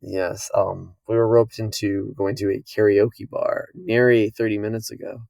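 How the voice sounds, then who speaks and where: A young man co-hosting a podcast, hoarse and croaky from losing his voice, chatting casually and joking. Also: on the low side, little pitch movement, normal speed, darkish tone, no echo. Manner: joking, laid-back, laughs often. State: hoarse, lost voice. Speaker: podcast co-host. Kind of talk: casual chat.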